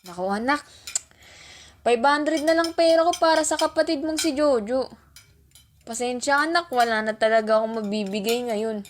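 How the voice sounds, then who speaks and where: Woman acting a caring mom in a homemade radio play, high voice, bit of background noise. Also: moderate pace, lightly acted. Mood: apologetic. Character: caring, hard-pressed mother hurrying her children along. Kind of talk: amateur radio drama acting. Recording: faint noise, clicks and clatter.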